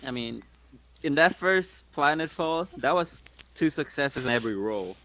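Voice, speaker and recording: A man's voice: a little high, lively intonation, unhurried, fairly bright tone. A man talking casually as a player in a tabletop game, on a noisy recording. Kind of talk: casual chat. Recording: clearly noisy, ambient background noise.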